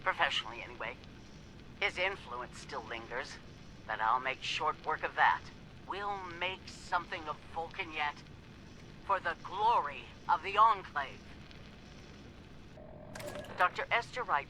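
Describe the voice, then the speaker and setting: An actress playing an arrogant, ruthless, zealous scientist in a video game audio log, with theatrical, deliberate enunciation. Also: female voice, sits low, pushed and bright, slight background noise, exaggerated voice, rough recording.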